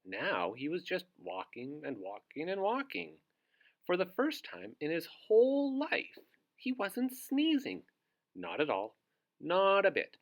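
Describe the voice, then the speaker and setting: Guy reading a picture book to little kids, super expressive swoopy pitch, slow pace. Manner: theatrical, addresses young listeners with questions. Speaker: read-aloud host for young children. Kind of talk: storybook narration for young children.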